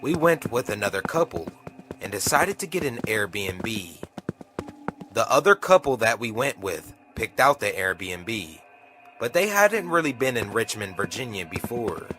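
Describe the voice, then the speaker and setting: A man narrating a scripted first-person horror story, telling it dramatically with pauses over background music. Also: on the low side, very animated, sharp tone. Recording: music behind the voice.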